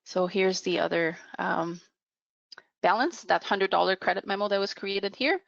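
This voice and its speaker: A woman presenting a software demo in a webinar, explaining step by step off the cuff with halting pauses. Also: mid-range voice, vocal fry, no room sound, dull audio. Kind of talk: unscripted software demo narration.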